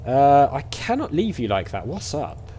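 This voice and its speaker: A man's voice: medium pitch, huge pitch swings, normal speed, dark tone, a little hiss or hum. A chatty man giving casual gameplay commentary as host, with game ambience behind him. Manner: chatty, reads game dialogue aloud. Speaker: gaming video host. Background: faint game ambience.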